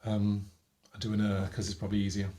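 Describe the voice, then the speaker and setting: A middle-aged man leads a singing lesson, relaxed and demonstrative as he sets up vocal exercises. He sits close to a studio microphone, wearing headphones in a small home studio. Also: fairly low pitch, fast talker. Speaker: voice coach. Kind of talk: teaching demonstration with sung vowels.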